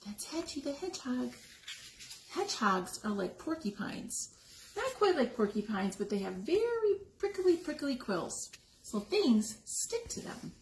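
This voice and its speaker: A woman reading a picture book aloud to young children, expressively, pausing to explain the pictures and ask questions. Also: high voice, big pitch swings, a little mellow. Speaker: story time reader. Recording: echoey room with background noise.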